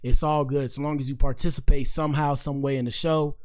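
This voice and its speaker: A young man from Miami-Dade County with a hoarse, partly lost voice, hosting a casual podcast livestream. He rambles loosely in slang and speaks straight to his chat. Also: fairly high pitch, fairly even intonation, fairly quick, a little mellow, slight background noise. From Miami-Dade County, Florida. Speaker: podcast host.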